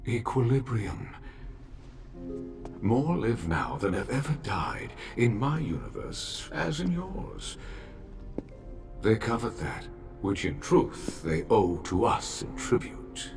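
An actor playing a solemn, scheming demon lord in a video game cutscene, speaking in a grandiose, theatrical way. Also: male voice, fairly low pitch, very animated, takes it slowly, gravelly, music in the background, exaggerated voice.

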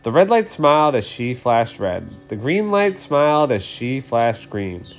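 A man in his thirties reads a picture book aloud for children. His mid-pitched voice moves in a lively, expressive way, with faint music behind it. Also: unhurried. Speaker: read-aloud storyteller. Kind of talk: expressive children's storybook reading.

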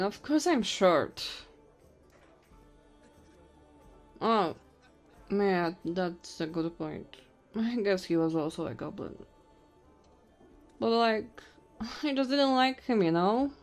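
Woman playing a slow-talking tipsy goblin innkeeper woman. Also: medium pitch. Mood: tipsy, chatty. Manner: teasing, intimate, drawn-out drunken musing. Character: tipsy, flirty, short female goblin innkeeper. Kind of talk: ASMR audio roleplay.

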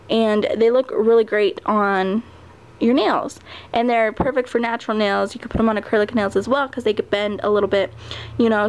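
Woman doing a nail review at home, fairly high voice with some gravel, lots of ums. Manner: chatty with frequent ums and little laughs. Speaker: nail art vlogger. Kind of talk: casual product review. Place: home table, hands on camera.

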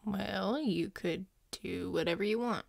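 A woman playing a grumpy but devoted male werewolf boyfriend, speaking intimately to the listener in a gruff, tender, halting way. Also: medium pitch, dry recording. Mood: soft, coaxing. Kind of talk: intimate audio roleplay, spoken to the listener.

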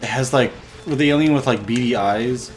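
A man's voice: mid-range voice, moderate pace, faint music behind. A young man hosting a let's play, chatting casually and swearing with frustrated outbursts. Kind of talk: casual gameplay commentary.

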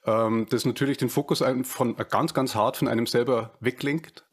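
A man in his thirties with a Bavarian accent, hosting a casual podcast interview over a video call on a headset, talking slowly with many fillers and restarts. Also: mid-range voice, steady tone, breathy edge. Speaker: podcast host. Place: home room, video call.

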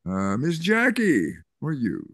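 An older man voicing a kind reverend, speaking gently with hesitant fillers over a video call. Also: on the high side, wildly swooping pitch, takes it slowly, no room sound, clean studio sound, full character voice. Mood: gentle. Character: kindly older male reverend. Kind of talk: Zoom play reading.

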